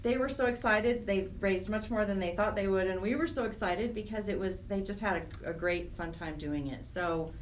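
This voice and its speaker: A woman's voice: mid-range voice, moderate pace, hardly any pauses, far from the mic, slight background noise. A woman speaking conversationally and earnestly as a nonprofit director being interviewed, stressing points by repeating words. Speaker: nonprofit program director, radio interview guest.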